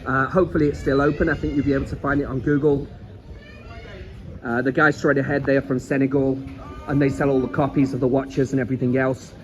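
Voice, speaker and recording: A man's voice: medium pitch, little pitch movement, fast, highs cut off. A man with a Liverpool accent giving a rambling, chatty travel guide while walking along an outdoor seaside promenade. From England. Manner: chatty, rambling, repeats words. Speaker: travel vlogger. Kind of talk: casual walking travel vlog.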